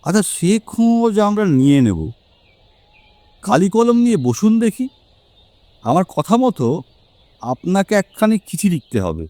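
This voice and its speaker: পুরুষ অভিনেতার কণ্ঠে ওঠানামা-ভরা উঁচু গলার আত্মবিশ্বাসী গোয়েন্দা. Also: male voice, high-pitched, big pitch swings, mellow, no echo. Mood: commanding. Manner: deliberate, emphatic, theatrical phrasing. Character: confident, sharp-minded male detective explaining a case. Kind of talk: Bengali audio story acting.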